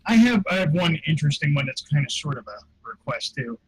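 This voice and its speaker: A man co-hosting a casual podcast, his voice sitting a little high for a man. He asks his questions easily, pausing with small hesitations. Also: sing-song, poor audio. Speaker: podcast co-host.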